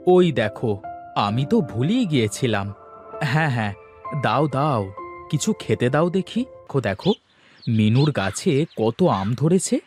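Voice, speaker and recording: An animated, mid-pitched village father played by a man in a cartoon story. He is protective and expressive, quick to remonstrate, with faint music behind him. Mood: eager. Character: protective village father, exasperated but caring.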